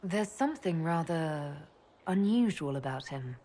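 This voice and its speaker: A direct, lowish-voiced elven leader. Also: female voice, lively intonation, unhurried, warm tone. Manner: plain, direct questioning. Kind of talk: video game cutscene dialogue.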